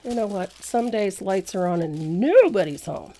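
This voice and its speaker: A woman's voice: mid-range voice, animated, a little slow, warm tone, a little hiss or hum. A woman with a congested, stuffed-up voice from a cold, leading a painting tutorial in a chatty, rambling way with frequent self-deprecating laughs. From Canada. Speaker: painting instructor.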